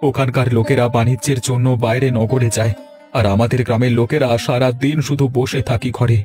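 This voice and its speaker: A man's voice: medium pitch, level tone, a little fast, music in the background, natural voice. A man voicing a poor, despairing middle-aged village father in a Bengali cartoon, lamenting and self-pitying. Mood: regretful. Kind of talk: Bengali cartoon story dubbing.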